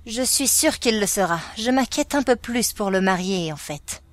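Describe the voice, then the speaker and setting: A woman playing a gentle, reserved, anxious royal elder sister in a fan dub, speaking in a restrained, measured way. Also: medium pitch, lively intonation, no room sound, lightly acted. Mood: dry, wary. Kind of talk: animated-fantasy fan dub acting in French. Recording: clear music behind the voice.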